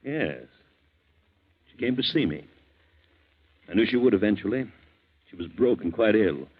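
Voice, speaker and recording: Middle-aged family man, 1940s radio drama: low, expressive, indulgent, resigned. Muffled vintage sound. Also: unhurried, breathy edge. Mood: calm, resigned. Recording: muffled narrow-band 1940s radio recording.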